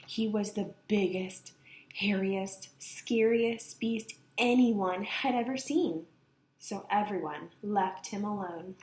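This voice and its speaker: A woman reading a children's picture book aloud with expressive, dramatic emphasis. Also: medium pitch, takes it slowly. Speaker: storybook reader. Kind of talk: animated children's read-aloud narration. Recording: echoey room, slight background noise.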